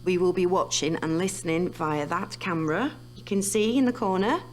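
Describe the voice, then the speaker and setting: Woman acting a lowish-voiced researcher. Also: moderate pace, a little hiss or hum, lightly acted. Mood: briskly instructive. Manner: matter-of-fact, clinical. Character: businesslike female researcher running an experiment. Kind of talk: audio drama dialogue.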